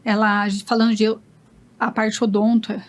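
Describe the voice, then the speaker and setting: A woman's voice: mid-range voice, level tone, moderate pace. A woman with a Brazilian accent hosting a podcast, interviewing in a casual, conversational way. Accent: Brazilian Portuguese.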